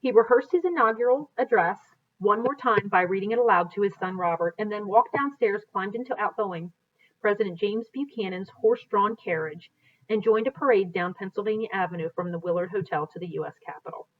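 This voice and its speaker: A woman's voice: mid-range voice, a little fast, slight background noise. An American woman reads a history book aloud as a teacher, in an explanatory, teacherly way. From United States. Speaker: teacher reading aloud to students. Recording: dull, lo-fi sound.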